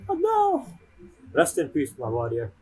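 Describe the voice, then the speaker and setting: Low-voiced woman reacting to gameplay. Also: wildly swooping pitch, measured pace, very noisy. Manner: informal, fragmentary, reactive. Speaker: game streamer. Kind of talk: casual reaction commentary over gameplay.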